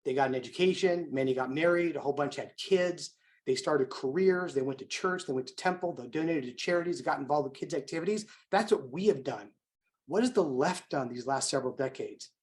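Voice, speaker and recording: An American man speaking as an event host, delivering an emphatic, rhetorical motivational introduction with deliberate phrasing. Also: a little high. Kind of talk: motivational speech introducing a guest.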